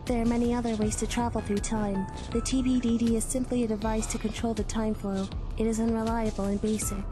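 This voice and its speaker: A woman playing an emotionless teenage alien girl in an anime fan dub, speaking in a formal, deadpan way. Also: on the high side, brisk, over music, natural voice. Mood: flat, detached. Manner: flat, deadpan, formal technical phrasing.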